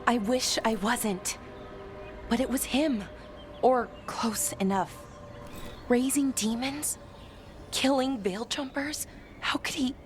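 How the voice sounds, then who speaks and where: A woman's voice: fairly high pitch, slow, pauses often, soft background music. A woman playing an earnest, loyal elven sister in a fantasy game, with a pained, hesitant manner. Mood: troubled.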